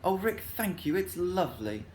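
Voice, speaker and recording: A man voicing a sweet young girl who speaks brightly and excitedly. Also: high-pitched, normal speed, a little hiss or hum, pitched above the performer's own voice. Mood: delighted.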